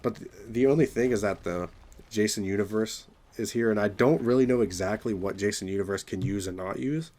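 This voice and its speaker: Young man, casual creator chat, slightly lowish voice, still raspy after a cold, rambling with fillers. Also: a little fast, darkish tone. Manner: rambling, many fillers and restarts. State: raspy voice from getting over illness. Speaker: gaming video creator. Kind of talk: casual solo commentary.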